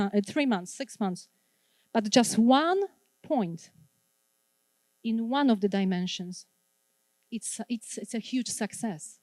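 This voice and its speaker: A woman's voice: a little high, a little mellow, dry recording. A middle-aged woman from Poland with a Polish accent, leading a wellness workshop and drawing answers from the audience. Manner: engaging, asks the audience questions and repeats their answers. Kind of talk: interactive workshop talk to a live audience.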